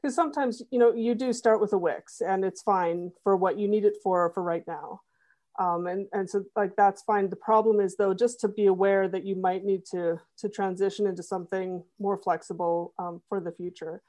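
A middle-aged woman talking through her web development work in a relaxed interview, with plenty of restarts and fillers. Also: mid-range voice, moderate pace. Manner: thoughtful, many fillers and restarts. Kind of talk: conversational interview.

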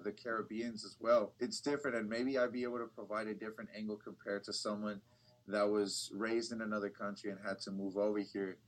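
A young man with a fairly low voice talks casually about his reporting work. His answers ramble, circling back with fillers and false starts, easy and unhurried. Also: rough recording. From United States. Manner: relaxed, rambling, many restarts and fillers. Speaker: bilingual TV reporter, guest. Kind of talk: casual interview talk.

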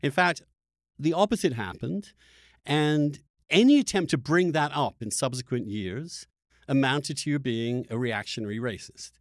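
A man from England talks as a podcast host in a dry, close acoustic. His voice is medium in pitch, and he speaks reflectively and haltingly, doubling back on words as he thinks aloud. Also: no echo. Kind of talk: conversational political discussion.

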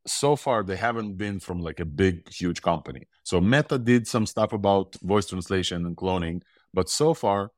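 A man's voice: low voice, no room sound, clean studio sound. A man from Ukraine with a mixed Russian and Israeli accent, hosting a casual tech news talk with enthusiasm and rambling restarts. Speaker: podcast host.

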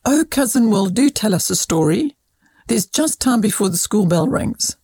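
A woman voicing eager, curious young children from a storybook, excited and full of wonder. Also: medium pitch, moderate pace, husky, no echo.

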